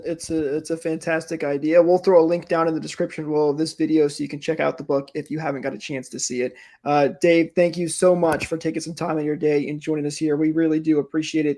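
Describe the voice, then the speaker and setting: A man's voice: a little high, flat delivery, quick pace, dark tone. A man hosting a podcast interview in a casual, conversational style. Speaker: podcast host.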